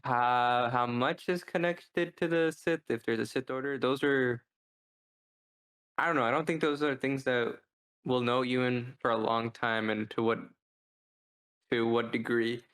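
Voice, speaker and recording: A man in a casual co-hosted discussion, speaking in an even, fairly flat tone. He thinks aloud, hesitating and restarting as he works through his points like a reviewer among friends. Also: medium pitch, unhurried, poor audio. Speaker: podcast co-host.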